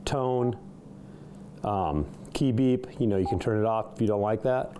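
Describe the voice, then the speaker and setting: Low, mellow man doing a casual product walkthrough. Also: measured pace, slight background noise. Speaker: product reviewer demonstrating a CB radio. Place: indoor studio.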